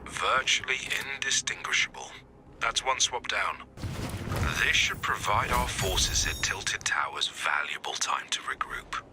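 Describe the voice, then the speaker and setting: Deep, hushed mission commander. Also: male voice, expressive, in a whisper, over music. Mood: dry, businesslike. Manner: dry, measured briefing. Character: composed male resistance mission commander. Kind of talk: scripted game mission briefing.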